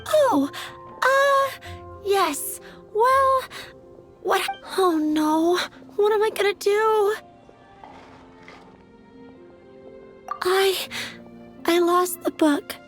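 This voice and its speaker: Young flower seller, extremely high girlish voice, timid, flustered, hesitant, apologetic, video game acting, faint music. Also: very slow, pauses often, fairly bright tone, full character voice. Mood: flustered, panicked.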